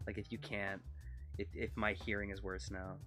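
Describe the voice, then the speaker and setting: Rambling Canadian streamer, quick-talking. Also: male voice, on the low side, level tone, over music. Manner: rambling, quick-talking, self-conscious about his pronunciation. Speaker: game streamer. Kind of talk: casual live-stream chat over gameplay. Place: seated at his desk, leaning back in a chair. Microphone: desk mic set close to his mouth.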